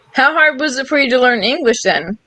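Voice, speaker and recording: A woman hosting a casual chat, chatty and quick to ask questions. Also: high voice, pushed and bright, no echo, rough recording. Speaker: host.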